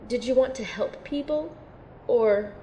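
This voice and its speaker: A woman playing an earnest, unsure young female recruit in an audio drama, sounding sincere, gentle and tentative. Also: fairly high pitch, unhurried, slight background noise, natural voice. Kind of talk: audio drama acting and first-person narration.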